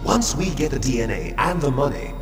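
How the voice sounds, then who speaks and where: An actor playing an arrogant, cold terrorist commander in a video game, with an imperious, theatrical delivery. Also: male voice, mid-range voice, sing-song, a little fast, close to an everyday voice. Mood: grandiose. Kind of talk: dramatic video game cutscene acting. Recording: echoey, distant, under loud ominous music.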